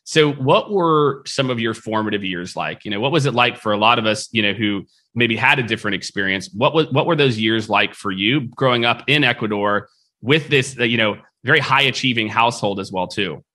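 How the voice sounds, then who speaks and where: A man hosting an interview podcast, energetic and loose, asking long rambling questions full of fillers. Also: mid-range voice, brisk. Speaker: podcast host. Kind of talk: conversational interview.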